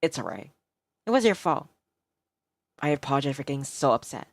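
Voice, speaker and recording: A woman's voice: extremely low pitch, very animated, fairly quick, no echo, studio quality, pitched below the performer's own voice, heavily acted. A woman voicing a curt male office rival who is secretly caring, speaking tersely. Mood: subdued. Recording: music behind the voice.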